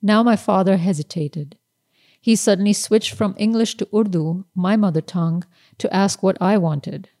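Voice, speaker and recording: A woman author reading her own literary short story aloud in a measured, unhurried way. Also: on the low side, warm tone.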